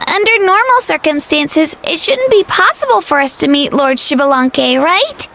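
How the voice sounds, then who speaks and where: A woman's voice: high-pitched, sing-song, moderate pace, fairly bright tone, heavily acted. A woman voicing an earnest, polite young girl in a game.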